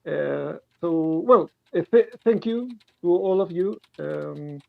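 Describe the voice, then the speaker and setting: A man from Chile with a Chilean Spanish accent, calling in to ask a question in halting English, full of restarts and nervous laughs. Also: a little high, vocal fry, no room sound. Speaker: caller. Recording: tinny phone-like call line.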